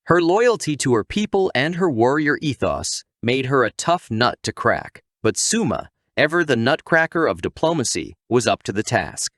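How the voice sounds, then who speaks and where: A man's voice: medium pitch, big pitch swings, moderate pace, hardly any pauses, fairly bright tone, dry recording, clean studio sound. A man reading a scripted recap narration in a wry, tongue-in-cheek storytelling manner.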